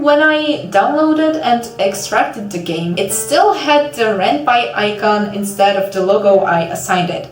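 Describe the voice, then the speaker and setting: A young woman from Ukraine narrating a video informally in a self-deprecating, jokey way, as an indie developer and host. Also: medium pitch. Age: young adult. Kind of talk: informal video narration about her own project. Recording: echoey room, distant mic.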